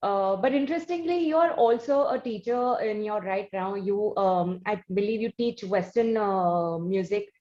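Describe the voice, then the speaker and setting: A woman with an Indian accent hosting an interview, putting questions in a halting, roundabout way. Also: mid-range voice, darkish tone. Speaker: interviewer and show host. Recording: dull, muffled lo-fi audio.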